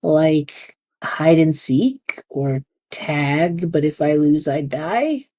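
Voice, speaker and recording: A woman's voice: deep voice, extremely slow, no room sound, full character voice. A woman voicing an eager, scatterbrained young male adventurer who jokes his way through danger, in a fan audio drama. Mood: excited. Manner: excitable, joking, reckless.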